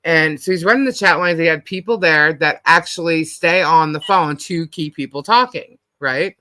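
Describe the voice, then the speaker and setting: Husky, bright-voiced American woman chatting. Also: normal speed, no echo. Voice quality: husky, deep voice. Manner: blunt, slangy, animatedly quotes herself and others. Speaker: host of a live panel stream. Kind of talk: casual chat, rambling anecdotes.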